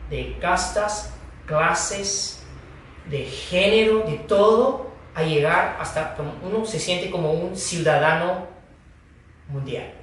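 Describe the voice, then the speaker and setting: A man who is a Buddhist teacher, giving a dharma talk in a slow, halting manner with pauses and fillers. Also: high-pitched, fairly bright tone, a little hiss or hum. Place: hall of a foundation. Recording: echoey room, distant mic.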